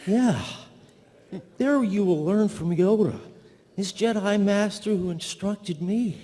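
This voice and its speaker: Laid-back ghost mentor, languid drawl, high mellow voice, live parody, noisy room. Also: male voice, slow. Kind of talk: live comedic script reading. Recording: background noise of convention hall.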